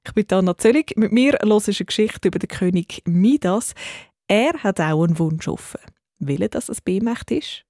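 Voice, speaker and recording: Mellow Swiss German woman introducing a story. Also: medium pitch, moderate pace, dry recording, clean studio sound. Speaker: presenter introducing a children's story. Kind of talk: scripted children's programme introduction.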